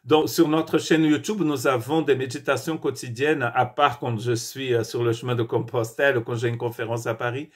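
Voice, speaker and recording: A pastor delivers an earnest daily devotional, stumbling over words and restarting as he goes. His voice is husky and sits at an ordinary male pitch. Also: fairly even intonation, normal speed, few pauses. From Portuguese-speaking country. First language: Portuguese. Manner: earnest, with frequent restarts and hesitations.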